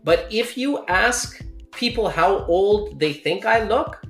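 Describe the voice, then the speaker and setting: Thirties podcast host, casual explainer, middle pitch, echoey room with faint background music. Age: 30s. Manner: explanatory, often checks in with "okay?". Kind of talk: casual health talk. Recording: roomy echo with faint music.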